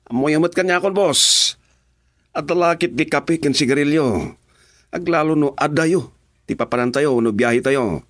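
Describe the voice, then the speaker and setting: An actor playing an obliging, cheeky sidekick in an Ilocano radio drama, casual in manner. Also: male voice, on the high side, unhurried, pauses often, a bit bright, no room sound, studio quality. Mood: joking, pleading. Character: cheeky, obedient male henchman who loves cigarettes and coffee.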